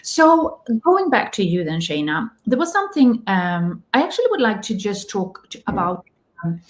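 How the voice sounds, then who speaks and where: A woman hosts a live online talk from a video call, speaking at a medium pitch. She greets the audience and frames questions, often restarting words mid-phrase. Her manner is friendly and engaged. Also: very animated, rough recording. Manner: warm, slightly halting, repeats words. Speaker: host.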